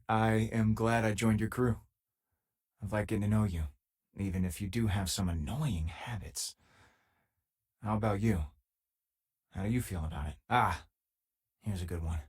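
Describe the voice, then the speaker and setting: A man playing a loyal, easygoing former miner and spaceship crewmate in a video game, with a husky, casual, wry delivery. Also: on the low side. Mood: friendly, reflective. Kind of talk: video game companion dialogue.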